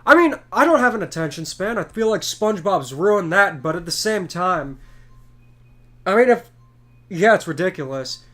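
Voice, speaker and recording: A man chatting casually while gaming, giving opinions freely and firing off quick questions. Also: sits high, big pitch swings, a bit bright.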